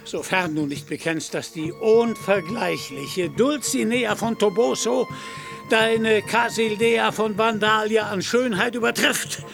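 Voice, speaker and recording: Ein Schauspieler spielt einen stolzen, verblendeten alten fahrenden Ritter, der in einem Hörspiel pompös und altertümlich deklamiert. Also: male voice, high-pitched, a little slow, creaky, over music. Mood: solemn, threatening. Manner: grandiose, archaic declamation. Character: proud, deluded old knight-errant, pompous and fervent. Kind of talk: radio play acting.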